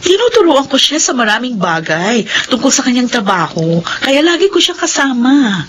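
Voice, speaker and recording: Casting: protesting young adult daughter, confident, animated pitch, radio drama, slight noise behind. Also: female voice, normal speed. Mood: defensive. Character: loyal, defensive grown daughter, young career woman. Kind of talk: Filipino radio drama acting.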